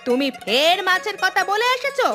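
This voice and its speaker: A high-pitched, nagging village housewife from a cartoon, played by a woman. She is thrifty yet soft-hearted and exclaims a lot, over clearly audible music. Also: big pitch swings, normal speed. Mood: exasperated. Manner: scolding, exclamatory. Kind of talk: cartoon voice acting.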